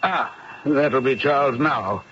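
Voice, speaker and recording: An actor playing a courteous aristocratic host, polite and halting, in an old radio drama. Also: male voice, medium pitch, a bit bright, a little hiss or hum. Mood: hesitant. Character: courteous, hesitant aristocratic country-house host. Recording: muffled 1970s radio recording.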